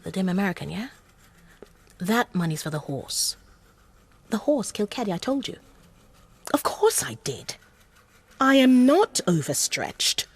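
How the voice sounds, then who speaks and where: A woman's voice: mid-range voice, big pitch swings, measured pace, pauses often. An actress playing a self-assured British female con artist in a radio drama, poised, persuasive and businesslike. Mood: brisk, impatient. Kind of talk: naturalistic radio drama acting.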